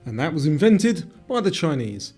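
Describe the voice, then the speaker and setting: A man's voice: on the high side, big pitch swings, moderate pace, a little mellow, slightly rough, soft background music. A man reading out scripted quiz questions and their answers as a quizmaster.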